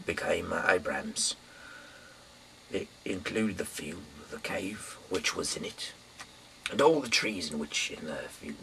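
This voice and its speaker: A man with a very low voice and a hard, forceful edge reads aloud in an informal way. He rambles, stumbles and trails off as he goes. There is faint background noise in the room. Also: vocal fry, poor audio. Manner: halting, rambling, stumbles over words and trails off. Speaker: host reading aloud. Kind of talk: informal Bible reading with rambling asides.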